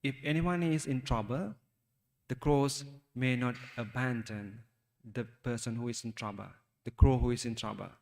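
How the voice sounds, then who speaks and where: A man with a Sri Lankan accent, a Buddhist monk giving a talk to young listeners, speaking haltingly and asking them questions. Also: medium pitch, a little mellow, long reverb tail. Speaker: Buddhist monk teaching youth. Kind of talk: Dhamma talk for children.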